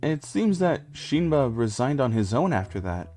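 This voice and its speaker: A man's voice: mid-range voice, very animated, normal speed, warm tone, soft background music. A man reading scripted first-person story narration for a comic dub.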